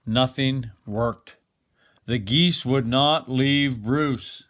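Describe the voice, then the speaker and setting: An older man reading a children's picture book aloud expressively, like a grandfather, with dramatic pauses. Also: mid-range voice, fairly bright tone.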